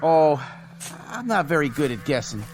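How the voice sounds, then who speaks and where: A man's voice: fairly high pitch, very animated, moderate pace, a little hiss or hum, poor audio. A man playing a folksy, nervously agreeable small-town grocery man in a radio drama, hesitant and over-cheery. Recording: music under the voice.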